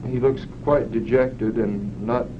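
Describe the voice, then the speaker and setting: A man's voice: medium pitch, fairly even intonation, slow. A man talks hesitantly, feeling his way through his answers and often restarting his sentences. His voice sounds dull and muffled, with heavy hiss from an old film soundtrack. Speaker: test participant. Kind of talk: unscripted answers in an experiment.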